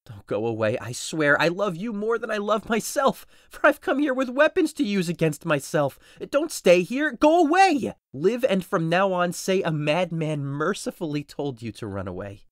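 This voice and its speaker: A man playing a desperate, grief-stricken young husband in a dramatic audio drama, intense and swinging between hushed menace and anguish. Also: sits high, sing-song, moderate pace, few pauses, no room sound, studio quality. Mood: desperate, fierce. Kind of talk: dramatic audio drama acting, modernized Shakespeare.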